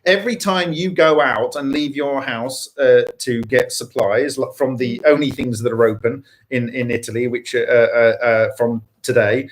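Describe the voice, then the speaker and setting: A man in a relaxed home chat, talking in stops and starts and repeating himself as he goes. He presses his points with emphasis. His voice sits at an ordinary pitch. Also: normal speed, hardly any pauses, rough recording. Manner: stammering, repeats words, emphatic. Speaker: host. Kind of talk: casual chat. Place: home garden setting.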